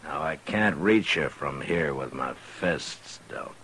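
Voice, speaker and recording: An actor playing a tough, commanding American frontier marshal in 1950s western radio style, deliberate, blunt and dryly menacing. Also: male voice, very deep voice, expressive, fairly bright tone, full character voice. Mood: stern. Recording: noisy old radio recording.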